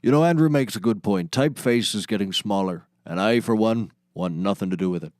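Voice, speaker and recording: Man playing a low, dry deadpan auctioneer. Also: brisk, no room sound, lightly acted. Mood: showman-like. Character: deadpan, self-important auctioneer sidekick and small-time talk show host. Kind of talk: scripted comedy acting.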